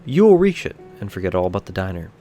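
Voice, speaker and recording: A man with a fairly low voice tells an eerie bedtime story, addressing the listener directly. His delivery is measured and solemn, like a scripted reading. Guitar music plays clearly behind him. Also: big pitch swings, warm tone.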